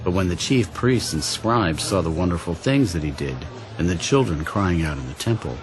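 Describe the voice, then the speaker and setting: A man narrating a dramatized Bible story in a measured, solemn storytelling manner. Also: low voice, lively intonation, low-quality recording. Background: background music.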